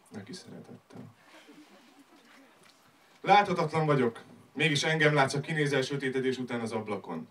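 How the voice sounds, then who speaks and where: A man's voice: a little high, fairly bright tone. A man performing slam poetry on a club stage in a declamatory, rhythmic delivery. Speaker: slam poet. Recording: live club recording, distant from mic.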